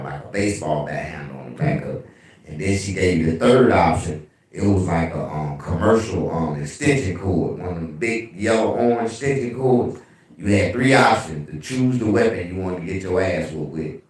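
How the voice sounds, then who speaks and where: Man, deep bass voice, casual livestream chat, profane, heavy room echo, far from the mic. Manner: animated, profane storytelling. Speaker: livestream host. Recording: very echoey room, away from mic.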